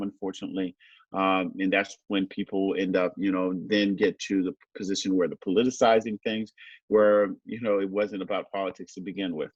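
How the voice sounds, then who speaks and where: A lower-voiced middle-aged man from Haiti speaks over a dry, dull-sounding video call. He answers in a thoughtful, conversational way, pausing on "um" and "you know" and checking in with "right?". The sound is close and dead, with little room around it. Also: dry recording. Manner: thoughtful, many fillers and tag "right?". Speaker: teacher being interviewed.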